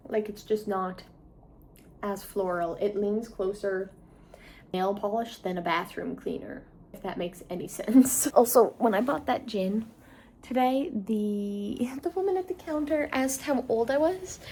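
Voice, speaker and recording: A woman's voice: mid-range voice, unhurried, mellow, slight background noise. A woman in her twenties chatting casually to camera as a travel vlogger, self-mocking and tired at day's end. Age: 20s. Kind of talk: casual vlog chat to camera.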